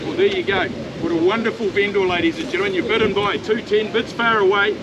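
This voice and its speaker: A man with a New Zealand accent working as a livestock auctioneer, rattling off bids in a rapid, repetitive chant and coaxing buyers at an outdoor saleyard. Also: high-pitched, steady tone. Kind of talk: auction bid calling. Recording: loud crowd and livestock noise at an outdoor sale.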